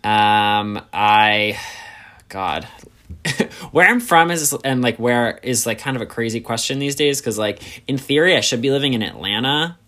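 Casual chat voice: American man, mid-twenties, ordinary mid-range pitch, playful, interjects often. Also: expressive, unhurried, sharp tone. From United States.

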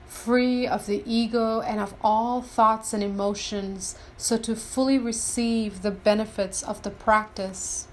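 Slow-paced woman guiding a meditation. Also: mid-range voice, slight background noise. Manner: deliberate, reverent, instructional. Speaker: meditation guide. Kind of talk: scripted guided meditation.

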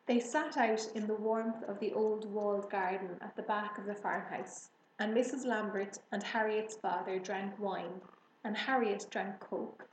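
A woman reading a children's book aloud as storybook narration. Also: mid-range voice. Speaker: storybook reader. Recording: roomy echo, faint background noise.

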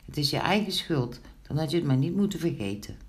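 A woman's voice: deep voice, lively intonation, fairly quick. A woman playing a strict, no-nonsense mother in a children's story, firm and scolding.

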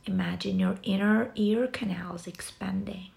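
Slow, creaky-voiced woman guiding relaxation. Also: medium pitch, steady tone. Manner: soft, soothing, breathy delivery with long pauses. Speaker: yoga nidra guide. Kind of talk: guided relaxation meditation.

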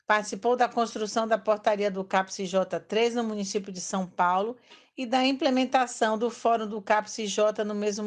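A woman with a Bahian accent hosting a talk show and reading guest introductions in a steady, measured way. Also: medium pitch. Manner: reads long credentials in a steady, measured way. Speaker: host.

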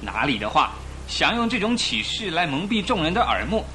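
Skeptical, opinionated male traveller, higher-pitched lively voice, scoffing radio-play delivery, noisy background. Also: brisk, full character voice. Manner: dismissive, scoffing. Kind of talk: Chinese radio drama acting.

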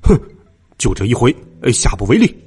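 A man voicing a gruff, blustering middle-aged zookeeper in a children's storybook narration, impatient but kindly. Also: mid-range voice, sing-song, a little fast, pitched below the performer's own voice. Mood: stern annoyance. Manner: loud, blustering, hesitant grunts.

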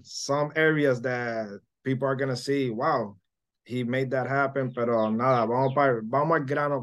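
A man hosting a podcast interview, speaking haltingly and switching between English and Spanish. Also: mid-range voice. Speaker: podcast host. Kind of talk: casual interview talk.

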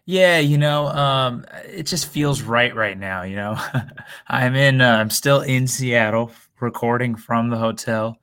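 Slightly hoarse man chatting on podcast. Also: mid-range voice, a little slow, fairly bright tone. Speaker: podcast co-host. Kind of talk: casual sports chat. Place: hotel room.

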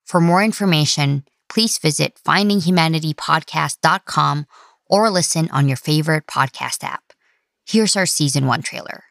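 A woman podcast host reads a prepared announcement in a low-pitched voice. The recording is dry and close, with very little room sound. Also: moderate pace, gravelly, a bit bright, dry recording. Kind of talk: scripted announcement read.

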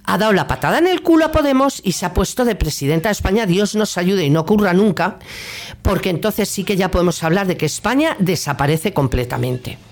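A woman's voice: mid-range voice, animated, fairly quick, darkish tone. A woman with a Castilian Spanish accent hosting a radio show, delivering a political opinion monologue in an indignant, sarcastic, colloquial way.

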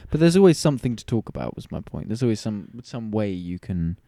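A man's voice: on the low side, big pitch swings, fairly quick, creaky, mellow, dry recording, clean studio sound. A man in his early twenties in casual, bantering conversation. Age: early 20s.

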